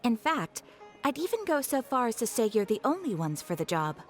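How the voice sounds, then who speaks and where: A composed, courteous guild receptionist, with a slightly high voice that moves through a lively range of pitch. She speaks formally and evenly while soft game music plays underneath. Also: a little fast.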